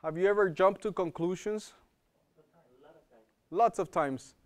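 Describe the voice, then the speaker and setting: A man's voice: sits high, measured pace. A man with an accent giving a live health lecture to a class, talking in a conversational way, often checking in with "okay?" and repeating words as he thinks. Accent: non-native English.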